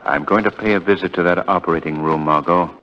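An actor playing a level-headed, inquisitive gentleman sleuth with a composed, questioning manner in 1940s radio style. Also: low-pitched, steady tone, fast, close to an everyday voice. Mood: probing, firm. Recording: dull 1940 radio recording.

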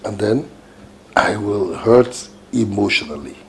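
Slow, emphatic Nigerian husband, low-voiced. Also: male voice, expressive, husky, exaggerated voice. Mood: frustrated. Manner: emphatic, repetitive, deliberate. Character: agitated, dramatic husband worried for his brother. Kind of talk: Nollywood domestic film drama.

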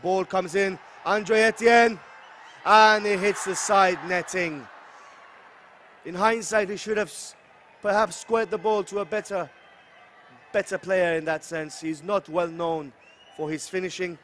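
A man giving excitable live play-by-play commentary on a football match. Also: sits high, unhurried, pauses often. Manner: excitable, rising to shouts at chances. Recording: loud crowd noise behind commentary. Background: stadium crowd roar.

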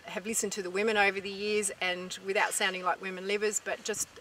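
Middle-aged woman, Australian accent, explanatory, middle pitch, distant outdoor recording, faint noise. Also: little pitch movement, fast, pushed and bright. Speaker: farming group representative. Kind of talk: informative interview talk. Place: outdoors in open dry farmland.

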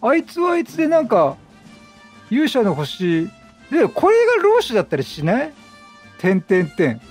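A chatty man with a high, very lively voice commentates casually on a video game. He reacts out loud with quick exclamations, and game music plays behind him. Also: breathy edge. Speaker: gaming commentator.

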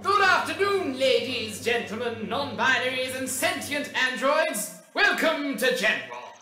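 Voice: high voice, big pitch swings, measured pace, pushed and bright, full character voice. An actor playing a showy announcer at a science facility in an audio drama, grand and emphatic, in an echoey space. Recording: echoey, faintly noisy announcement.

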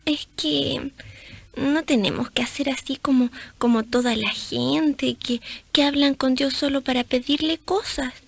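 A woman playing a gentle, devout little girl in a radio drama, speaking in a hesitant, childlike way. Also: high voice, fairly even intonation, unhurried, gravelly. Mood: earnest, childlike. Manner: hesitant, childlike, repeats word starts.

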